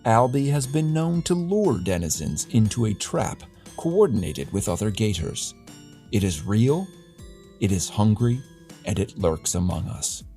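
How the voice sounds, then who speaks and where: A man playing a pompous, deadpan host of a satirical dystopian radio newscast, with florid, arch delivery. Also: lowish voice, measured pace. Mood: ominous. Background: music.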